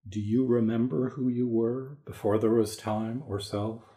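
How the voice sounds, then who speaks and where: Guy doing a tired old sun god king, real slow n solemn, mellow, gentle dad in a radio play. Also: mid-range voice, fairly even intonation, lightly acted. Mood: wistful. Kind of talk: dramatic reading like a radio play.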